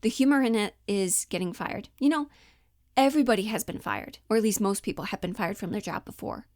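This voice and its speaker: A woman's voice: medium pitch, a little fast, darkish tone, no echo, studio quality. A woman giving casual, explanatory commentary as an acting coach, candid and informal in how she talks. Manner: candid, informal, mildly sweary. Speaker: acting coach and monologue performer.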